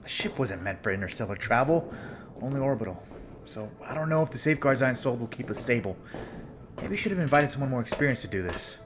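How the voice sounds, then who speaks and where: An actor playing a nervous, joking older-brother ship pilot. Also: male voice, mid-range voice, lively intonation, music in the background, close to an everyday voice. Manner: nervous, joking, fast-talking bluffs. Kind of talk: fan audio drama acting.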